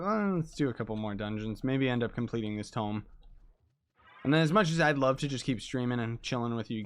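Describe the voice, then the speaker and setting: A young man chatting casually with viewers while he plays a game, hoarse and losing his voice from being sick, talking in an excitable, laid-back way. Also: mid-range voice, a little hiss or hum. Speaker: game streamer. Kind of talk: casual livestream chat while gaming.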